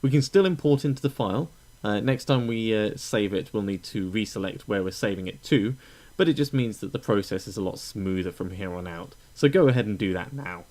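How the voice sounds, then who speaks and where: A man narrating a casual step-by-step software tutorial in a conversational, explanatory way, pausing often with uhs. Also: lowish voice.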